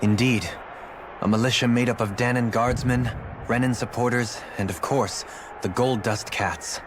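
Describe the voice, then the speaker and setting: An actor voicing a dignified, resolute young lord in a video game, speaking formally and solemnly. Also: male voice, medium pitch, steady tone, moderate pace, background noise, lightly acted. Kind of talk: dubbed video game cutscene acting. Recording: loud game music under the voice. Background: loud background music.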